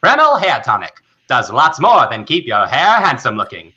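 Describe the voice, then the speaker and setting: A man's voice: medium pitch, wildly swooping pitch, moderate pace, pushed and bright, lo-fi sound. A man playing a peppy old-time radio announcer, selling with exaggerated 1940s salesman punch. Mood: upbeat selling. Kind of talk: 1940s radio advertisement acting.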